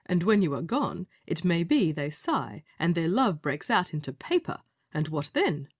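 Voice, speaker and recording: A woman's voice: low-pitched, sing-song, normal speed, slightly rough, no echo, highs cut off, heavily acted. A woman playing a sardonic male gallant in a period stage comedy, wry and teasing with a companion.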